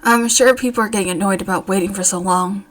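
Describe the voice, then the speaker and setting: A woman's voice: medium pitch, fast, fairly bright tone, lightly acted. A woman voicing an earnest, reserved teenage boy in a narrated story. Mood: apologetic, matter-of-fact.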